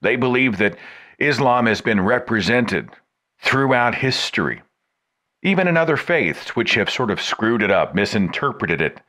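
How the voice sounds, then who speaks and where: Animated man hosting a talk podcast. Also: mid-range voice, moderate pace. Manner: expressive, emphatic delivery with wide swings. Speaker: podcast host. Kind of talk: scripted radio talk and interview.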